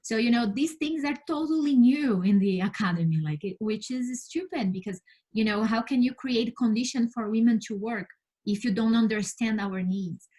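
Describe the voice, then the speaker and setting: A woman from Brazil, a native Portuguese speaker with a Brazilian accent, answering interview questions as a scientist in an earnest, halting way. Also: a little high, warm tone, breathy edge. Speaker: interview guest, space scientist and mentor.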